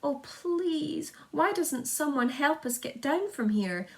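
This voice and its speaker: A woman's voice: sits high, lively intonation, slow pace, pitched above the performer's own voice, full character voice. Woman as a pleading, giddy lady spider. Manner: pleading, calling out. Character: distressed, giddy female giant spider. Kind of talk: children's storybook character voice.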